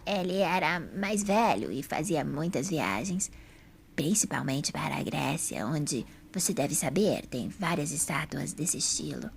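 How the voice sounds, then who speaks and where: A woman playing a serene, frail old lady in an audio drama, with a hoarse, breathy voice and slow, genteel pauses. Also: low voice, lively intonation, a little hiss or hum, full character voice. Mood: fondly reminiscing. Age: older.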